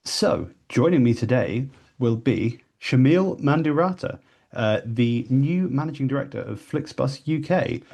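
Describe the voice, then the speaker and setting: A man's voice: medium pitch, darkish tone. A man hosting a podcast interview, talking hesitantly with many fillers and restarts, in a small room. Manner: hesitant, lots of "you know" and restarts. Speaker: podcast host.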